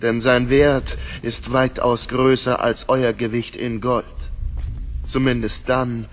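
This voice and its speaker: An actor playing an arrogant, imperious collector in a German audio drama, measured and condescending. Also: male voice, medium pitch, level tone, close to an everyday voice. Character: arrogant, imperious male buyer of occult relics. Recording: long hall reverb, faint noise.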